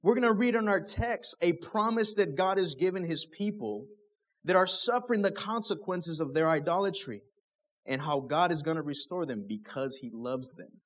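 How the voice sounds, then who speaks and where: A man's voice: fairly high pitch, animated, moderate pace, a bit bright. Casual young man preaching. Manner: casual, conversational preaching with fillers and rhetorical questions. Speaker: pastor. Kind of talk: sermon.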